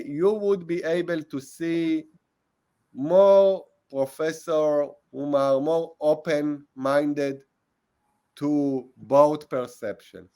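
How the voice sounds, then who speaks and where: A man in his forties with an Israeli accent, a historian speaking as a guest over a video call, measured, restarting phrases and adding "yeah?" to check in. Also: fairly high pitch, mellow. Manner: measured, repeats "I, I" and tags "yeah?". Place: small room at home. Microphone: earbuds on video call.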